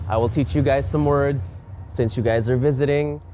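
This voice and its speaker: A man's voice: medium pitch, steady tone, moderate pace, warm tone, faint music behind. A man with a Filipino accent, from the Philippines, playfully teaching local words on stage, coaching and laughing often. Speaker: language segment host.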